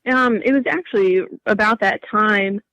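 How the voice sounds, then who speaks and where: A woman's voice: medium pitch, moderate pace, fairly bright tone, no room sound. A young adult woman telling her personal story casually as an interview guest.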